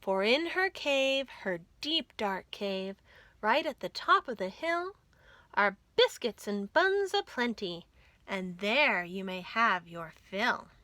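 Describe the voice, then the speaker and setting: A woman's voice: medium pitch, very animated, slow pace, bright, forceful tone, no room sound, heavily acted. A woman voicing a plucky, polite young female duck with a crafty streak in a children's storybook. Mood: coaxing, crafty. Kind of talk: children's storybook read-aloud.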